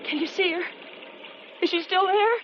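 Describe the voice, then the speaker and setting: High-pitched, panicky young wife. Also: female voice, moderate pace. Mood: terrified. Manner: melodramatic, pleading. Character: frightened young wife, panicky, pleading, clinging. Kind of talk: 1940s radio mystery acting. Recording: noisy, muffled vintage radio drama recording.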